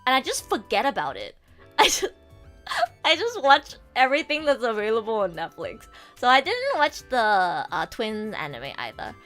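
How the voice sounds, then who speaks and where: A woman streamer chatting casually with her viewers, animated and swearing freely, her throat a bit sore from a coming cold. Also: a little high, normal speed, faint music behind. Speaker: VTuber streamer and voice actor. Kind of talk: casual livestream chat.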